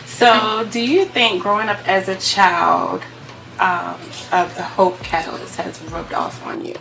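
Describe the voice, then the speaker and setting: Gravelly-voiced woman podcast host. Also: on the low side, fairly even intonation, measured pace, music in the background, rough recording. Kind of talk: interview host.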